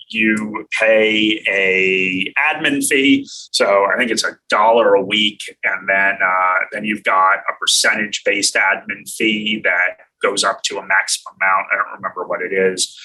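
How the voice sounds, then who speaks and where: An American man hosting a live finance talk, answering viewer comments in a relaxed way and thinking aloud with many fillers. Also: fairly low pitch, far from the mic, rough recording. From United States. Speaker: host answering viewer questions.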